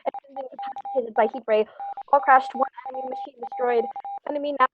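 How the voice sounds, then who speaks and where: Woman as high-voiced noisy radio operator. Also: steady tone, fast talker, close to an everyday voice. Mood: urgent. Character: formal military radio operator calling in battle reports. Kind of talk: radio play acting. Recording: dull, noisy call with clicks.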